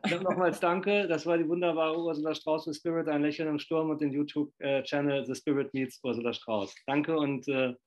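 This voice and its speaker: A man's voice: fairly high pitch, little pitch movement, a little fast, few pauses, low-quality recording. A man in his fifties interviewing over a video call from a small room, talking in a rambling, effusive way full of fillers. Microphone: laptop webcam on video call.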